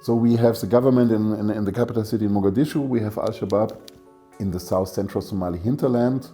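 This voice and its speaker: A man giving a matter-of-fact explanation in an interview, with a gravelly voice. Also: on the low side, steady tone, fast, dark tone, soft background music. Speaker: expert.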